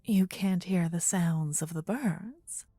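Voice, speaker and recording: A woman playing a possessive, tender demon queen in a fantasy ASMR roleplay, with a soft breathy whisper and an intimate, regal, coaxing manner. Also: fairly low pitch, slow, dry recording. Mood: possessive, soothing.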